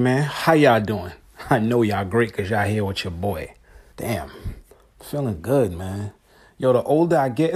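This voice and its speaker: A man's voice: medium pitch, darkish tone, slightly rough. A man in his forties hosting a solo podcast, talking casually and slangily straight to his listeners. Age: 40s. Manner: laid-back, slangy, talks straight to listeners. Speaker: podcast host.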